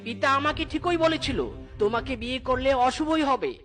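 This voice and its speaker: A proud merman prince from a cartoon tale, played by a man. His voice is high for a man, haughty and accusing, over clear music. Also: a little fast, a bit bright. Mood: angry. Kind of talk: dramatic cartoon fairy-tale dialogue.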